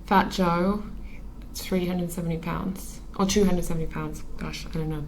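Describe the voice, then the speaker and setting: Low creaky-voiced woman, thoughtful guest. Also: fairly even intonation, moderate pace, slight background noise, poor audio. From Australia. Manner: thoughtful, earnest, many ums and restarts. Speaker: interview guest, magazine editor. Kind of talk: relaxed conversational interview.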